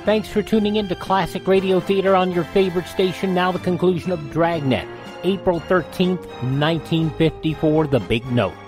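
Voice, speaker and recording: A man hosting a classic radio program, reading promos and introductions in a lively, folksy way. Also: high voice, normal speed, music in the background. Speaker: radio show host.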